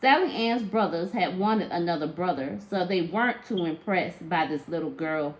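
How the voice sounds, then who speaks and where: Expressive woman reading a story aloud. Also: on the low side, moderate pace, poor audio. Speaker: teacher reading aloud to children. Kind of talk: storybook read-aloud narration of a tall tale.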